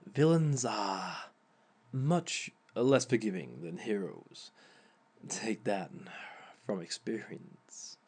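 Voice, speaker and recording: A man's voice: lowish voice, animated, takes it slowly, darkish tone, husky, exaggerated voice. A man voicing a sly, theatrical male villain who lectures on dating in an audio roleplay, with chuckling asides. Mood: smug. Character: smug male villain giving a dating seminar.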